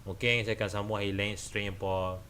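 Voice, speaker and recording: A man's voice: lowish voice, little pitch movement, a little fast, pushed and bright, slight background noise. A man with a Malaysian accent chatting casually on a game livestream, rambling and repeating himself. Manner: rambling, repetitive. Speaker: game streamer.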